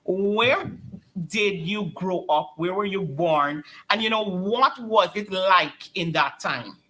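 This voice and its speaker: Female talk show host, very slow pace, bright tone, polite questions. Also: mid-range voice, animated, poor audio. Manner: measured and polite, many fillers. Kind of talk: interview questions.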